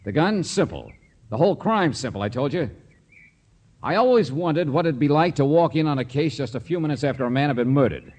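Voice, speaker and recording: Hard-boiled American radio detective, swinging pitch. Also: male voice, lively intonation, a little fast, darkish tone. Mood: confrontational, sardonic. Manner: hard-boiled, needling, insistent. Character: tough, sharp-tongued male private detective. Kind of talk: 1940s-50s American radio drama acting. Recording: vintage radio drama recording.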